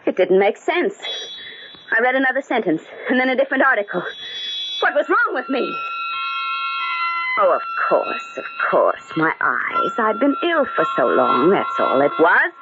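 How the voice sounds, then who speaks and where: A woman's voice: on the high side, big pitch swings, normal speed. An actress playing an anxious, brooding older woman recovering from illness, narrating in an old radio drama style. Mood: uneasy. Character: anxious gray-haired convalescent woman haunted by fear of madness. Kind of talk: 1940s radio acting, first-person narration. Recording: muffled, very noisy old radio recording.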